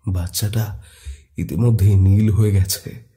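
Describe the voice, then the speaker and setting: Slow, dark-voiced male horror narrator. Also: on the low side, fairly even intonation. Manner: suspenseful, deliberate. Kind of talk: dramatic scripted horror story narration.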